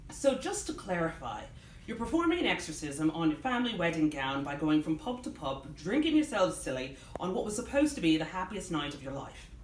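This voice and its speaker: A woman's voice: low-pitched, very animated, a little fast. Woman reading as a bemused bartender, echoey room. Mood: bewildered. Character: bemused friendly male Irish pub bartender. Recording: roomy live reading, background noise.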